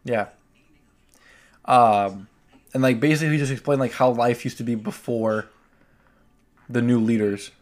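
Rambling man, medium-pitched voice. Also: steady tone, a little slow, pauses often. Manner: rambling, hesitant, slangy. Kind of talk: casual anecdotal chat.

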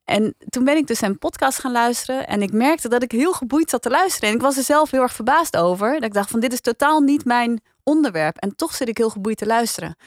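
A woman's voice: fairly high pitch, expressive, a little fast, hardly any pauses, dry recording, studio quality. A woman with a Netherlandic Dutch accent, hosting a podcast panel in casual discussion. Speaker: podcast review panel host.

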